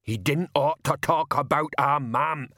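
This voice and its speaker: A man voicing a huge, simple-minded thug in an audiobook, with a deep rumbling voice and slow, stubborn, childlike delivery. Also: bright, forceful tone, no room sound, clean studio sound, full character voice. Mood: sullen.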